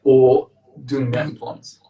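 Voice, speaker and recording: Man, chatty live-stream host, big voice, booming and upbeat, recorded a way off the mic in an echoey room. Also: flat delivery, slow, far from the mic, lo-fi sound. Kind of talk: casual live-stream interview.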